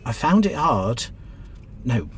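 An actor playing a kindly, encouraging middle-aged British taxi driver in a radio play, reassuring and plain-spoken. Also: male voice, medium pitch, big pitch swings, takes it slowly, faint music behind. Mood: sympathetic.

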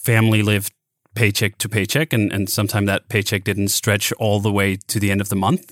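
A man's voice: fairly low pitch, fairly bright tone, no echo, studio quality. A man from Denmark, hosting a casual podcast conversation, frank and emphatic, swearing freely and restarting phrases. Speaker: podcast host.

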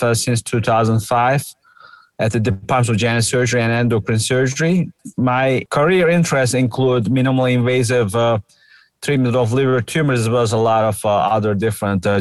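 Middle-aged man with Turkish accent, podcast guest, explains with many pauses and uh's, dry close sound. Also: medium pitch, steady tone, no room sound. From Turkey. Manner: hesitant, many "uh" fillers, explanatory. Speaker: surgeon guest. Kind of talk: podcast interview.

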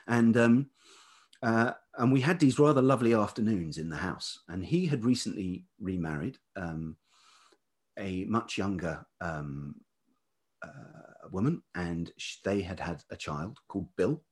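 A man's voice: sits low, a little slow, husky. A middle-aged man with a velvety voice telling anecdotes as an interview guest, hesitantly piecing his thoughts together. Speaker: podcast guest, writer and producer. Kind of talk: reflective anecdotal interview talk.

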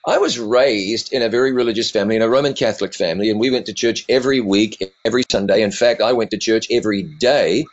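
Low-voiced New Zealand man, muffled sound. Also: fast, hardly any pauses. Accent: New Zealand, softened by decades in the US. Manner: animated, self-deprecating, jokey. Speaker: evangelist and TV presenter. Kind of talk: storytelling interview answers.

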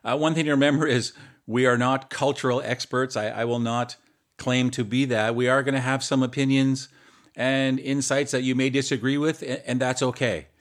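A man's voice: mid-range voice, little pitch movement, moderate pace. Earnest man hosting a podcast. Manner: earnest, with some restarts. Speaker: podcast host. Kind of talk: casual podcast chat.

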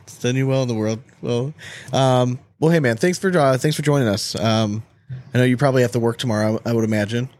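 Dude hosting a podcast, casual chat, kinda sweary, normal pitch. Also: male voice, a little fast, a little mellow, dry recording, studio quality. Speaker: podcast host.

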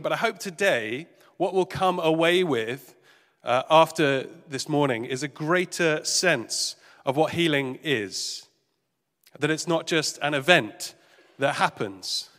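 A man preaching a sermon in a gentle, careful, conversational way, sounding a bit congested and nasal. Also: on the high side. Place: church service hall. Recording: reverberant hall with faint music.

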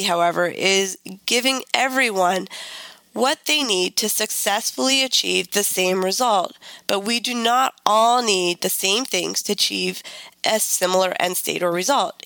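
Woman, middle-aged, stuffy from a cold, podcast host, measured earnest delivery, dry close mic. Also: mid-range voice, vocal fry, fairly bright tone, dry recording, rough recording. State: congested from a cold. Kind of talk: solo podcast, reading a speech aloud.

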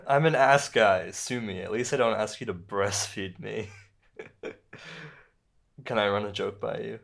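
An actor playing an insecure, self-pitying stand-up comedian in a radio play, speaking in a halting, conversational way. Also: male voice, fairly low pitch, gravelly, a bit bright, heavily acted. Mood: amused, teasing. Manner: halting, conversational, with repeated words. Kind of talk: naturalistic radio play dialogue.

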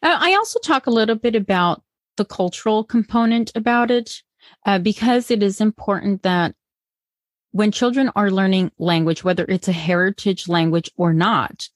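A woman's voice: medium pitch, moderate pace, no echo. Earnest woman with a dry close sound. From Colombia. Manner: earnest, encouraging, with frequent restarts. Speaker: guest, bilingual parenting educator. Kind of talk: podcast interview answers.

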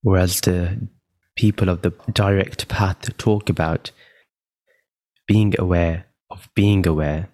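Male meditation guide, very low pitch, unhurried and calm delivery with long pauses, dry close sound. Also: no echo.

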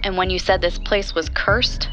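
Woman playing a bright-voiced, inquisitive interviewer. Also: on the low side, fairly even intonation, moderate pace, faint music behind, close to an everyday voice. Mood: intrigued, probing. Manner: inquisitive, conversational. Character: curious, skeptical, sympathetic woman interviewer. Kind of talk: audio drama dialogue.

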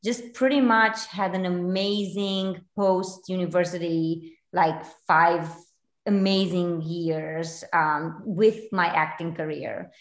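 A woman's voice: lowish voice, steady tone, slow. Chatty woman from Romania, echoey room. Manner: chatty, many fillers and restarts. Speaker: guest, realtor. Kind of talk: casual interview.

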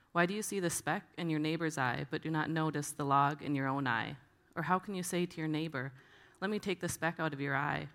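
Creaky-voiced volunteer reader of Bible verses. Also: medium pitch, a bit bright. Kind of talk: reading scripture aloud.

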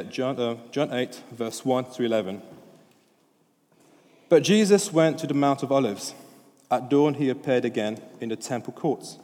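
A man's voice: mid-range voice, darkish tone. French-born man preaching in an echoing hall. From France. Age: 30s. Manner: informal and chatty, with many restarts and fillers. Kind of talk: sermon. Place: church hall.

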